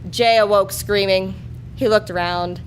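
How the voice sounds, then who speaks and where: A woman's voice: medium pitch, fairly even intonation, a little slow, raised voice, a little hiss or hum, rough recording. A woman performing a live reading of a comic adult story as narrator. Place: live show before an audience.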